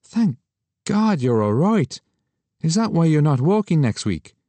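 A man voicing a flirtatious, excitable photographer who speaks in a teasing, exclamatory way. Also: a little high, unhurried, no echo, pitched above the performer's own voice. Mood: shocked, concerned. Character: Irish male photographer, flirtatious, brash, excitable.

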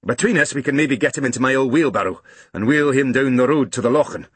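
A man doing a gruff old gardener. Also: medium pitch, fast talker, a bit bright, no echo, pitched above the performer's own voice. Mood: worried.

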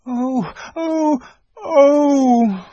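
Man doing a little storybook girl, high and breathless, all feeling, bit husky. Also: lively intonation, slow, a little mellow, dry recording, highs cut off, exaggerated voice. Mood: grieving. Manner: high, emotional, breathless cries. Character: young girl, eager and tender-hearted.

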